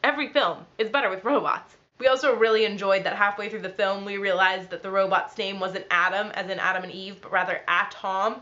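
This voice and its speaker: Fast-talking nasal young woman vlogger. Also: mid-range voice, dull audio. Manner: quick, dry, deadpan storytelling in numbered parts. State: ill, nasal. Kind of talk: storytelling vlog.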